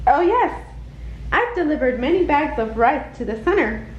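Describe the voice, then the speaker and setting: A woman's voice: sits high, big pitch swings, normal speed. A woman reading a storybook, voicing a cheerful, helpful male shopkeeper, in a roomy, slightly muffled recording. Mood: friendly. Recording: roomy, muffled, some background noise.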